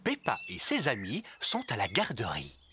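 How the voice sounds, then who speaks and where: A man's voice: mid-range voice, huge pitch swings, normal speed. A man narrating a children's cartoon as a friendly storyteller. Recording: loud music and animal sounds behind.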